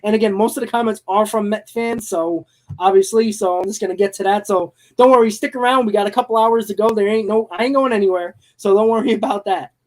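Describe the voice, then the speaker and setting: A man hosting a live-streamed sports talk show, hoarse from losing his voice, giving blunt, emphatic opinions. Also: high-pitched, quick pace.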